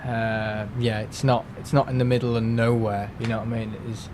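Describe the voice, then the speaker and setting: A rambling man with a Merseyside accent chatting casually to his live viewers at an everyday mid pitch, full of fillers and restarts. A faint low rumble hums underneath his voice. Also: flat delivery, fairly quick. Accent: Merseyside English. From England. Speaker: livestream host.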